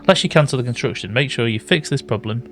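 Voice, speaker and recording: A British man with a slightly raspy voice, thinking aloud and correcting himself as he casually commentates a building game. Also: medium pitch, animated, fast, fairly bright tone. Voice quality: slightly raspy from early morning. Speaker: gaming YouTuber. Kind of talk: casual let's-play commentary.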